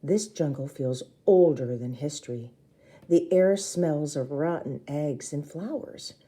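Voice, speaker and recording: A woman reading a children's book aloud in an expressive, theatrical way, acting out the dialogue. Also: deep voice, unhurried. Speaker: storybook reader.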